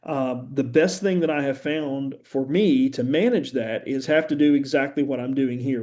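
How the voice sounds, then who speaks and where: A middle-aged man from West Virginia, a university professor, giving a conversational, earnest introductory lecture with frequent "uh" pauses and an occasional stammer. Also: medium pitch, warm tone. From West Virginia, USA.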